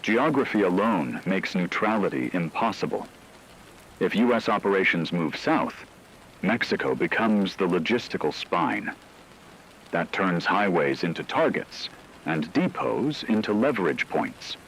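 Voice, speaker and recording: A man playing a grave radio anchor, delivering a scripted emergency war broadcast in measured, solemn, formal tones. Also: deep voice, expressive, a bit bright, slight background noise, exaggerated voice, poor audio. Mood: grave, urgent.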